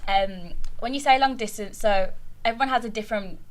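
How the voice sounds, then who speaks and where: A young woman hosting a student radio show, bubbly and chatty with quick asides. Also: a little high, low-quality recording. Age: young adult. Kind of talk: casual chat.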